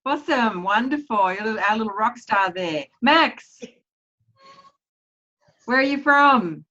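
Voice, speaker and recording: A woman's voice: fairly high pitch, expressive, bright, forceful tone. Upbeat woman hosting a video call. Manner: upbeat, quick to praise each guest. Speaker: host of a team video call. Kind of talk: casual group call hosting. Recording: muffled video call audio.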